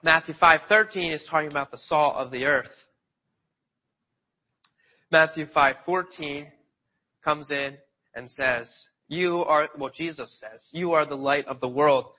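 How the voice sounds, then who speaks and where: A man's voice: on the high side, unhurried, pauses often. Sniffly man giving a casual sermon. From Pennsylvania, near Philadelphia. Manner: conversational, loose storytelling with restarts. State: sniffly with a cold. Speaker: lay preacher. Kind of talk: informal sermon. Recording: dull lo-fi sound.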